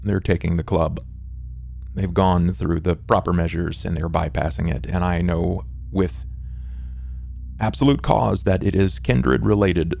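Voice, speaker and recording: A man voicing a courtly, macabre male vampire fixer in a tabletop roleplay drama, speaking in an ornate, formal, measured way. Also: low voice, steady tone, gravelly, darkish tone, a little hiss or hum. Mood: grave.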